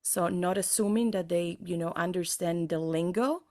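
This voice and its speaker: A woman's voice: on the low side, moderate pace. A woman from El Salvador, talking conversationally as a podcast guest and acting out bits of dialogue to make her points. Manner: animated, illustrates points with imagined dialogue. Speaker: podcast guest, safety consultant.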